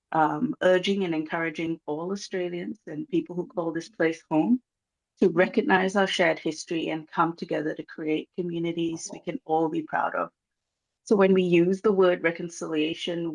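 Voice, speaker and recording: A speaker from Malaysia with a Malaysian accent, giving an earnest, measured address over a video call, pausing often with um. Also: medium pitch, vocal fry, low-quality recording. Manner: earnest, measured, many um pauses. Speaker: guest speaker from a reconciliation organization. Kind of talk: formal address at a launch event.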